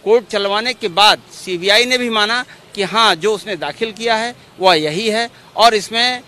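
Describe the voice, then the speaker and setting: A man's voice: high voice, normal speed, bright, forceful tone. A man, a lawyer giving formal, repetitive explanations in a press interview. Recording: outdoor street noise behind.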